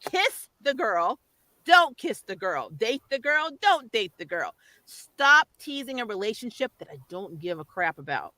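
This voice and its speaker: A woman with a very bright, forceful voice, recorded close and dry. She talks as the host of a casual recap show, ranting emphatically and with open exasperation, and she laughs easily. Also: a little high, very animated, a little slow, dry recording, rough recording. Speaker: host of a pop culture review show.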